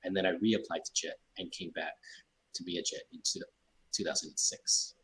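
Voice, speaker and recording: A man's voice: low-pitched, steady tone, moderate pace, a bit bright, breathy edge, low-quality recording. A man giving plain, explanatory answers as a guest in a casual interview. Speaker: guest translator.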